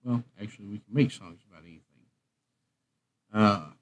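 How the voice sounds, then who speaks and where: A man's voice: on the low side, normal speed. A man hosting a music livestream, chatting casually in a rambling, meandering way.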